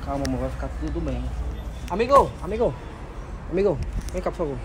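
A young man with a high, light voice chats up strangers in casual slang, pushing his prank along. The sound comes from a distant hidden camera, with clear noise behind him in public spaces. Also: animated, fast.

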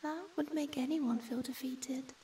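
A woman voicing a shy, gentle young ghost girl in a soft, breathy, often whispered ASMR roleplay, speaking hesitantly and tenderly. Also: high voice, fairly even intonation, measured pace, far from the mic, a little hiss or hum. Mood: tender, consoling.

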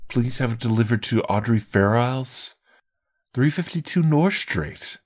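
A polite young gentleman in a visual novel game. He is reserved and courteous, speaking slowly at an average pitch for a man. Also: lively intonation.

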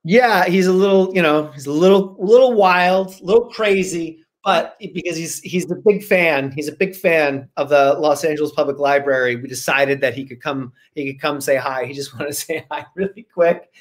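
A man's voice: a little high, moderate pace, hardly any pauses. A man in his forties with an American accent, chatting casually and playfully as a guest on a video call, rambling as he tells stories. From Rhode Island, United States. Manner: animated, gesturing, playful, rambling. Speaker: guest author and screenwriter. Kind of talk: casual storytelling in an online interview. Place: small home room, indoors. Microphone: computer mic on a video call.

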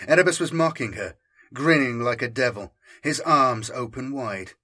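A man's voice: mid-range voice, animated, moderate pace, pushed and bright, slightly rough. A man reading scripted fiction as an audiobook narrator, with intense, theatrical delivery.

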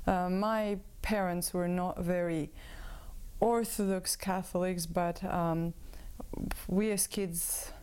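A woman in her thirties from Croatia, a native Croatian speaker, giving her personal testimony as a guest with many pauses and fillers. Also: lowish voice, steady tone, a little mellow, clear, resonant tone. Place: room with bookshelves. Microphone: lapel mic.

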